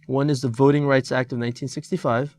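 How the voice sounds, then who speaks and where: Halting, filler-heavy man explaining an exam. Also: mid-range voice, level tone, a little mellow. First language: Korean. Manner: halting, many fillers and restarts. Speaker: teacher. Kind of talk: exam review lesson.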